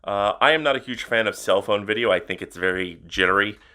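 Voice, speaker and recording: Deep-voiced man reviewing gear. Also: fairly quick. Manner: relaxed, filler-heavy talk with many uhs. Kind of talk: casual product review vlog.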